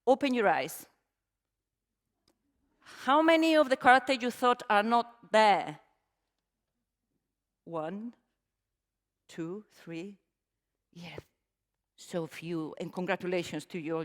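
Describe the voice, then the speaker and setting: A middle-aged woman with a Spanish accent presents a talk to a conference audience. Her speech is warm and self-deprecating, full of ums and restarts. The long reverb of a large hall trails behind her words. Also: fairly low pitch. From Spain. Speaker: conference speaker. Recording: reverberant hall, stage mic.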